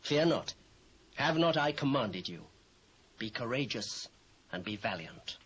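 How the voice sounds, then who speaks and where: A British actor playing a scheming, commanding young prince in a dramatised Bible, speaking formal archaic English. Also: male voice, medium pitch, expressive, measured pace, a bit bright, husky, highs cut off. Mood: menacing, commanding.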